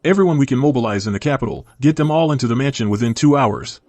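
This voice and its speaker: A man's voice: medium pitch, animated, fast, a little mellow, natural voice. Man acting as a curt, commanding prince. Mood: commanding, urgent. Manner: curt, authoritative orders. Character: commanding, guarded young prince of imperial China. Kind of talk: dubbed period drama acting.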